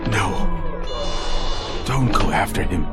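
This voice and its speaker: An actor playing a formal, grave, alarmed alien commander in a radio drama. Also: male voice, low voice, expressive, takes it slowly, loud music behind the voice.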